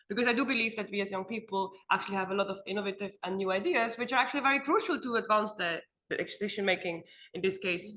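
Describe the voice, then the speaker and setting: A woman's voice: mid-range voice, bright, forceful tone. A young woman from Switzerland giving an earnest panel talk in a conference room, often using fillers like "um" and "like". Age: young adult. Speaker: panel speaker. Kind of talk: roundtable talk.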